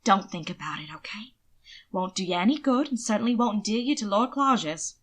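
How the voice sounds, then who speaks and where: A woman's voice: mid-range voice, expressive, a little fast, slightly rough. A woman voicing a loyal, tactful, good-humoured male valet in a storybook reading. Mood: earnest.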